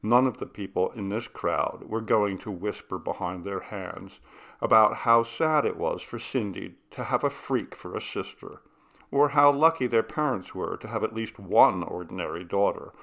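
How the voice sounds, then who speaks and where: A man reading short fiction aloud as an audiobook narrator, in an expressive storytelling manner with a gravelly voice. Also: lowish voice, unhurried. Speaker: audiobook reader.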